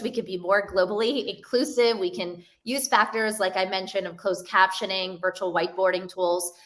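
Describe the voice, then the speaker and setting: A woman's voice: medium pitch, pushed and bright. A woman in her forties speaking on a panel over a video call, laying out points in a structured, anecdotal way. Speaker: panelist, collaboration expert. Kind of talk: panel discussion.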